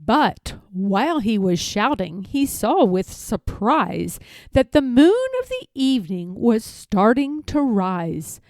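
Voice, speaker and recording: A woman narrating a rhyming children's storybook in an expressive read-aloud style. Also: on the high side, takes it slowly, dry recording, clean studio sound.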